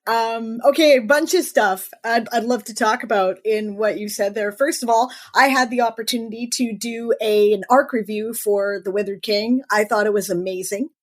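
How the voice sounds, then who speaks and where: Female co-host, somewhat high voice, enthusiastic and casual, lots of "you know.". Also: moderate pace, hardly any pauses. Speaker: podcast co-host. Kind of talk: casual interview chat.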